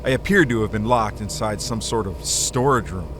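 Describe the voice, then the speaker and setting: A man's voice: on the low side, moderate pace, noisy background, lightly acted. A man playing a cocky, wry, hardboiled hero in a detective audio drama. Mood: wry, confident. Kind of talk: first-person detective drama narration.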